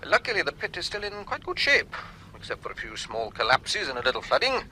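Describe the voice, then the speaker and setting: Bright, animated academic man. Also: a little high, brisk, a little hiss or hum, exaggerated voice, low-quality recording. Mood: intrigued. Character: excitable, blustering older Welsh male university lecturer. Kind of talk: radio drama dialogue.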